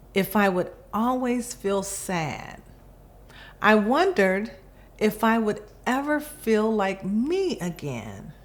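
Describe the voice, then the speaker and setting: Very slow, expressive woman storyteller. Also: medium pitch. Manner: expressive, deliberate phrasing with pauses. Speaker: volunteer storybook reader. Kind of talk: children's picture book read-aloud.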